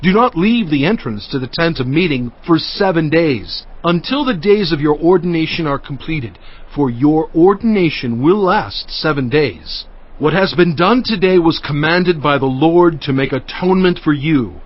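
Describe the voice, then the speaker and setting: A man playing a commanding prophet who gives solemn, deliberate instructions in a dramatized scripture recording. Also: a little high, expressive, dull audio.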